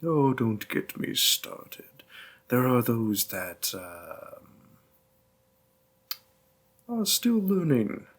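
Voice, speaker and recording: Demon boyfriend for intimate audio roleplay, played by a man: tender, courtly and teasing, very unhurried, with a husky breathy edge. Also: mid-range voice, wildly swooping pitch, exaggerated voice. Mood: playful instructing. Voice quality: breathy, gravelly, half-whispered. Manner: formal courtly phrasing, softly coaxing. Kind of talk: roleplay audio, intimate second-person acting.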